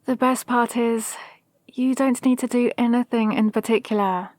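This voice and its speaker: A woman playing a scheming, power-hungry villain mentor in an ASMR roleplay, gently menacing, with measured, formal delivery. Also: fairly high pitch, fairly even intonation, no room sound, clean studio sound, lightly acted. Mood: calm, calculating. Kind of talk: scripted ASMR roleplay monologue.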